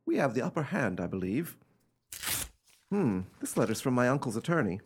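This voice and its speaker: A man playing an imperious, coolly cruel, excitable gentleman heir in an old-fashioned radio play, speaking in a theatrical, commanding, formal way. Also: medium pitch, normal speed, a little hiss or hum, lightly acted. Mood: smug, composed.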